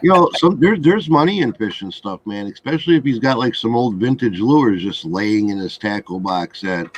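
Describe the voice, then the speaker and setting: A man with a Wisconsin accent telling casual anecdotes in a relaxed group chat. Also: lowish voice, moderate pace. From Wisconsin, United States. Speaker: co-host of a live chat show. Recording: muffled lo-fi, faint background noise.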